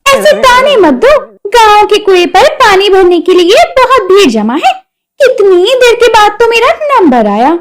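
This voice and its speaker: Woman putting on a shrill, whiny village wife. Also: big pitch swings, a little slow, full character voice. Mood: aggrieved. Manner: whiny, argumentative. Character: feisty young village wife, aggrieved and complaining. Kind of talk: Hindi cartoon story acting.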